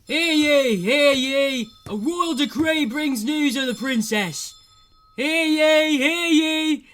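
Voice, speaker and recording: Medieval town crier, very high pitch, loud official proclamations in a declamatory style, comic fantasy audio drama. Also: male voice, slow pace, heavily acted. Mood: urgent, booming. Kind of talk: comedic audio drama acting.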